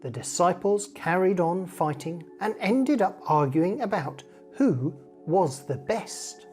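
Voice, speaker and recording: A woman reading a story aloud to children in an expressive storyteller's way, acting out the dialogue. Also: lowish voice, takes it slowly, soft background music.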